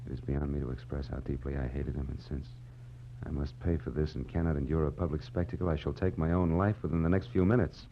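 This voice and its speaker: An actor playing a hard-boiled, world-weary private detective in a 1940s radio drama, with an American accent and clipped, deadpan delivery. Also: male voice, extremely low pitch, fast talker, creaky, slight background noise. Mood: grim. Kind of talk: 1940s radio noir acting, first-person narration. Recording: muffled old radio recording with music.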